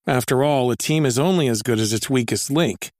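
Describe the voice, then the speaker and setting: A man's voice: mid-range voice, a little fast, darkish tone, dry recording, studio quality. Earnest male public service announcer. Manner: earnest, persuasive. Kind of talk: scripted public service ad read.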